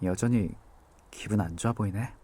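A young man voicing a gentle, devoted boyfriend in an ASMR audio drama, speaking softly and tenderly. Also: fairly low pitch, normal speed, a little hiss or hum, close to an everyday voice. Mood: gentle, caring. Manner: soft-spoken, hushed and tender. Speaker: voice actor.

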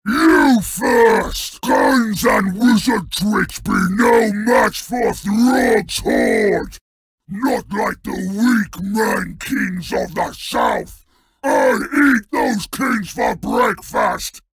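A man's voice: high-pitched, huge pitch swings, very slow, shouting, full character voice. An actor voicing a hulking, boastful, ever-hungry male troll king for a fantasy video game, growling and bellowing in blunt, broken speech. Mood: aggressive, roaring. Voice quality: gravelly, growling.

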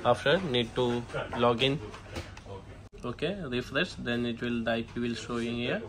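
A man who is not a native English speaker gives a hands-on setup walkthrough in short, halting phrases with frequent okays. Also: mid-range voice, a crowd in the background. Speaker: tutorial presenter, technician. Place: indoor shop or office. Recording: noisy room, clear background noise.

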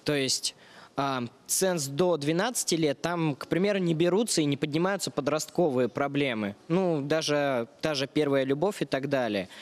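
Young man, slightly high voice. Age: young adult. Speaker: audience member. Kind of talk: asking a prepared question. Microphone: handheld microphone held to him.

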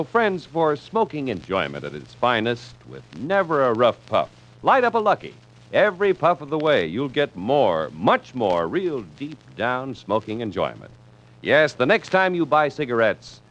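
A man's voice: medium pitch, a bit bright. An American man reading a scripted 1950s radio commercial as its announcer. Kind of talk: 1950s radio cigarette ad read. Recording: dull, lo-fi old radio recording.

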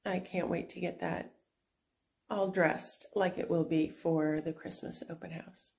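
A middle-aged woman hosting a casual vlog while showing her antique shop booth, talking in an unhurried, chatty way with fillers and restarts. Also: on the low side, steady tone, vocal fry, darkish tone. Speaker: antique shop owner and channel host.

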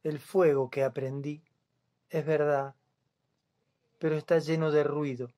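A man in his fifties with a Buenos Aires accent reads verse in a soft-edged, dark tone. His delivery is very slow and solemn, with long silences between phrases. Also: a little high, flat delivery. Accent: Buenos Aires Spanish. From Buenos Aires province, Argentina. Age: 50s. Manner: deliberate, with long pauses. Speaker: poet. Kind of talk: solemn poetry reading.

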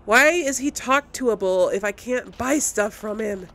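A woman's voice: a little high, a little slow, a bit bright, slight background noise. A woman giving casual let's play commentary, rambling and thinking aloud as she goes. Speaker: gamer, channel host.

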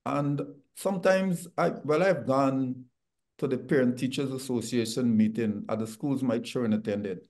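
A man's voice: medium pitch, moderate pace, darkish tone. A middle-aged man with a Guyanese accent, speaking earnestly as a panelist on a video call. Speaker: talk show panelist.

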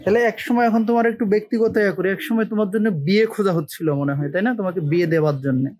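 High-pitched, quick Bangladeshi man interviewing. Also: darkish tone. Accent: Bangladeshi Bengali. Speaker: interviewer and channel host. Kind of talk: on-location human-interest interview. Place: on location in a village. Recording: crowd chatter behind the voice.

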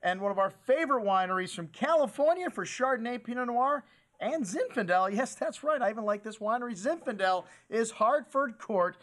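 A man's voice: extremely high pitch, lively intonation, a little fast, fairly bright tone. A middle-aged man hosting a wine shop video, talking casually and enthusiastically to camera about new bottles. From USA. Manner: enthusiastic, chatty salesman with "hey" and "man". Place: wine shop set in front of wine crates, indoors.

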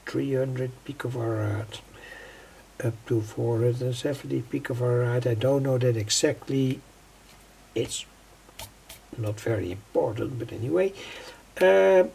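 An older man giving an unscripted hands-on tutorial in a halting, deliberate way, often repeating his phrases. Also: medium pitch, mellow. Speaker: electronics hobbyist presenter. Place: indoors at a home workbench. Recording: clearly noisy home recording.

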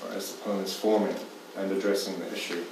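A very low-voiced Irish man in his fifties answers a panel question haltingly, full of ums and repeated words. His voice booms around a large hall from a distant mic, with clear room noise underneath. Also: flat delivery. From Ireland. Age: 50s. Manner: hesitant, many fillers and repeats. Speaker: poet. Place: stage in a dim theatre hall. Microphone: far camera. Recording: echoey hall from far off.

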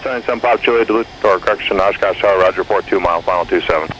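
A man's voice: sits low, very fast, a bit bright, low-quality recording. A man working as an air traffic controller, giving clipped, courteous instructions over aviation radio. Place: airport tower radio, heard in a jet cockpit. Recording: aviation radio with faint noise.

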